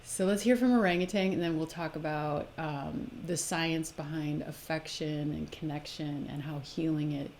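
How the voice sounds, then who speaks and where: A woman's voice: low-pitched, steady tone, normal speed, hardly any pauses, a little mellow. A woman hosting a live spiritual presentation, moving between informal asides and reading aloud. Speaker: host of a live spiritual oracle card presentation. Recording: noticeable background noise, live stream.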